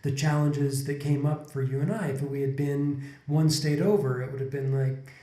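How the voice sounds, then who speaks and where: A man's voice: on the high side, level tone, moderate pace, dark tone, echoey room. A man in his forties, co-hosting a podcast in casual conversation, reflective and rambling, often restarting his sentences. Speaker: podcast co-host. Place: indoor room, sitting on a couch. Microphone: desk condenser mic in front of couple.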